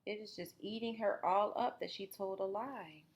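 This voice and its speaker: A woman reading a children's story aloud as a teacher, expressively, pausing to explain it to young listeners. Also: mid-range voice. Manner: animated, explanatory, guiding listeners through the story.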